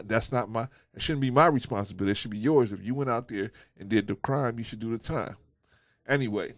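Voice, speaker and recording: A man's voice: medium pitch, no room sound. A man with a stuffy nose from a cold, giving a casual opinion monologue as host of his channel, emphatic and preachy, repeating his points and asking "right?".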